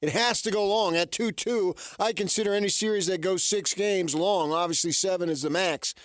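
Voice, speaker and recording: A man's voice: sits high, moderate pace, dry recording, clean studio sound. A man hosting a sports radio show, talking casually in a rambling monologue and often answering his own questions. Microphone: studio radio microphone.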